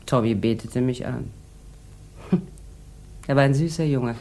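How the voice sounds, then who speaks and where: A jaded, hard-drinking woman with a very low voice, in a 1960s German radio crime play. She is sharp-tongued and languid, coating her words in mocking irony. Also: a little slow, heavily acted. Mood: wry, reminiscing.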